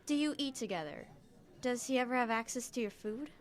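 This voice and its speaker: A woman's voice: a little high, expressive, brisk, natural voice. An actress playing a suspicious, insistent woman in a radio drama, speaking conversationally with pressing emphasis. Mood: suspicious, urgent. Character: suspicious, insistent woman digging into a murder case.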